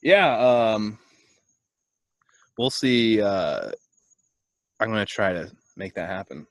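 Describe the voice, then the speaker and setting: A man with a fairly low voice rambles through relaxed, joking banter with a co-host, full of "like" and "um". The sound is dry and close, with no room around it. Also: slow pace, no echo. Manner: rambling, joking, lots of fillers. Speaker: podcast co-host. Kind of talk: casual chat.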